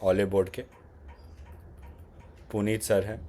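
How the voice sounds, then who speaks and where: Man, Indian English accent, sore throat, lowish voice, casual exam-tips talk. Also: flat delivery, slow, dark tone. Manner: conversational, mixes Hindi with English phrases, repeats "ठीक है". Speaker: exam prep YouTuber.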